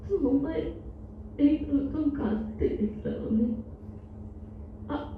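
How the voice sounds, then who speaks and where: A woman playing a tender, sentimental old wife, deeply moved, in a staged audio drama. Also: slight background noise. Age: older. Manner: sentimental, slow, theatrical. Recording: echoey hall, distant, muffled, music.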